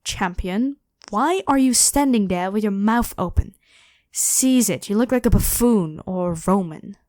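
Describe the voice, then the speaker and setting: An ancient, ruthless female pharaoh performed by a woman in an ASMR roleplay. She speaks slowly in a mid-pitched voice, controlling and imperious, with theatrical scorn for the listener. The sound is dry, with no room around it. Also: animated, darkish tone, no room sound, lightly acted. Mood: haughty, impatient. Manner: haughty, commanding, theatrically scornful.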